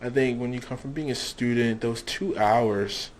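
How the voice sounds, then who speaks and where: A man's voice: medium pitch, moderate pace, a loud crowd. A young man interviewing a guest in a casual, rambling way, with lots of fillers. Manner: rambling questions, many fillers.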